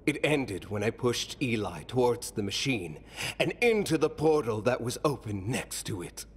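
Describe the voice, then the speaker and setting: A man playing a weary, remorseful fallen mastermind in a video game, speaking gravely and haltingly with breathy trailing phrases. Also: medium pitch, sing-song, a little hiss or hum, close to an everyday voice. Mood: weary sorrow. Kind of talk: dramatic video game monologue.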